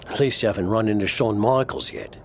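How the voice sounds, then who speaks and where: Reassuring wry male wrestling friend, lowish voice, noisy backdrop. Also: normal speed, close to an everyday voice. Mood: supportive. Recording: noticeable background noise.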